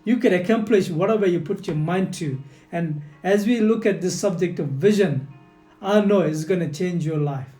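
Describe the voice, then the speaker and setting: A man's voice: sits high, moderate pace. A man whose first language is not English, giving an earnest motivational leadership lesson and speaking straight to the listener with lots of repetition. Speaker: leadership coach. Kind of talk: motivational teaching talk. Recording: echoey room with soft guitar music.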